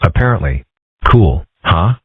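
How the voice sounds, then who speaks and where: A man voicing a calm, dry-witted ten-year-old boy in a cartoon comic dub, with a husky voice and a mild deadpan manner. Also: low voice, a little slow, no room sound, heavily acted. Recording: muffled band-limited audio.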